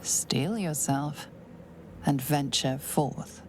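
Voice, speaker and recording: A woman acting as a grave, ominous storyteller, deliberately narrating a dark fantasy game. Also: sits low, a little hiss or hum. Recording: music bed behind the voice.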